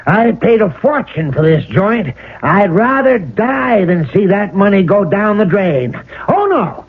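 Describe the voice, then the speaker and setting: Through a tinny vintage radio recording comes a brash American tycoon. He blusters slangily in a very high voice that swings widely, stubborn to the core. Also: very animated, a little slow, slightly rough, full character voice. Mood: defiant. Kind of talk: old-time radio acting. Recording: thin, phone-like old radio recording.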